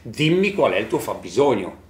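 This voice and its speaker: A man's voice: mid-range voice, big pitch swings, normal speed, darkish tone. A man, posing rhetorical questions in an interview. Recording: echoey room, faint noise.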